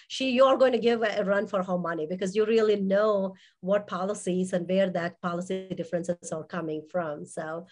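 A woman hosting an online interview, chatty and meandering as she asks her guest questions. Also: mid-range voice. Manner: chatty, frequent fillers and restarts.

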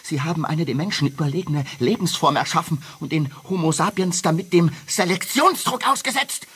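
An actor playing a skeptical investigator who questions the scientists in a sardonic, probing way. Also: male voice, on the high side, a little fast, fairly bright tone, husky. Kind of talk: radio play acting.

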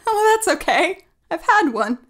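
A woman's voice: very high-pitched, sing-song, unhurried, a bit bright, full character voice. A woman playing a frightened, bewildered, pleading woman in an audio drama, earnest and hesitant, in a roomy, noisy recording. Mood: shaken, relieved. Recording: roomy echo with clear background noise.

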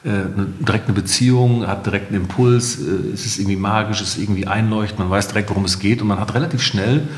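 A middle-aged man with a deep, low voice talks conversationally, as a guest being interviewed. The room is echoey and leaves a long reverb on his words. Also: fairly even intonation, fast talker, hardly any pauses. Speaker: company co-founder, interview guest.

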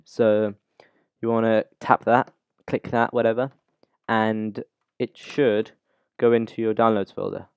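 A man's voice: on the low side, flat delivery, unhurried, vocal fry, pauses often, a little mellow, clean tone, no room sound. Man giving an informal tutorial, dull dry sound. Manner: informal, unscripted, rambling. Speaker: YouTube tutorial host. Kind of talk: casual software tutorial, talking through screen steps.

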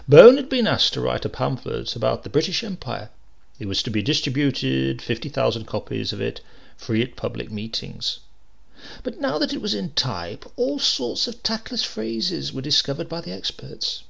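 Male audiobook reader, gravelly, animated delivery of a comic tale. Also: medium pitch, moderate pace. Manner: lively, expressive reading with varied character voices. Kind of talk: scripted narration of a comic short story.

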